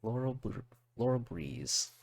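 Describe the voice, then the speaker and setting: A man doing casual reaction commentary as a streamer, halting and often repeating his phrases. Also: medium pitch, very animated, gravelly, dry recording. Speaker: streamer reacting to game trailers. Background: game trailer music in the background.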